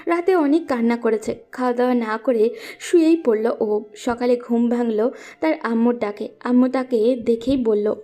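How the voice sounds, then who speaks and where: A woman's voice: fairly high pitch, normal speed, mellow. A woman narrating a scripted romantic story.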